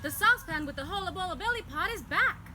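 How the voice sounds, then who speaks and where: A woman voicing an eager, excitable magic saucepan in a puppet show, loudly calling out in a bouncy, exclamatory way. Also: very high voice, fast talker, heavy background noise, voice pushed up. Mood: excited. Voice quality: loud, calling out. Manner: exclamatory, bouncy, sing-out delivery.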